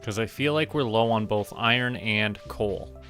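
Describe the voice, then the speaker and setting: A man giving casual gameplay commentary, rambling and relaxed as he talks to himself. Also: on the low side, normal speed, soft background music. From Canada. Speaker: streamer.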